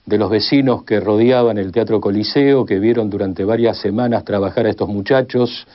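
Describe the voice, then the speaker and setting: A man's voice: fairly low pitch, steady tone, normal speed. An Argentine man giving an unscripted explanation as an expert being interviewed about history. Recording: slightly dull audio.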